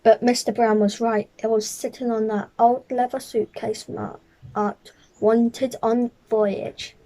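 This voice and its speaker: A woman reading a children's picture book aloud as a storyteller, careful and a little halting, stumbling over the odd word. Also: fairly high pitch, flat delivery, mellow, rough recording.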